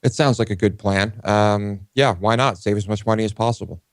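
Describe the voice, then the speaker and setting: Low, deadpan male co-host. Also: fairly quick, no echo, studio quality. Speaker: talk show co-host. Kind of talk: casual studio banter. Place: studio.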